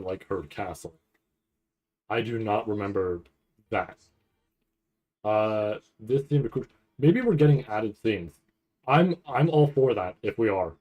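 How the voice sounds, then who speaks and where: A man with a lowish voice talks through footage in an informal, unscripted way. He thinks aloud as he goes, halting and repeating words while he works out what he is looking at. The delivery is that of a casual streamer's commentary. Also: a little mellow, poor audio. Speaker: anime reaction streamer. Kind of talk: casual off-the-cuff trailer breakdown commentary.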